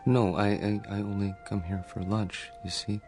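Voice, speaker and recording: Actor as awkward, timid male loner, radio play; halting, courteous, slightly low voice, faint music behind. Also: flat delivery, lightly acted. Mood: timid, polite. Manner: hesitant, stammering, polite. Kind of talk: radio drama acting.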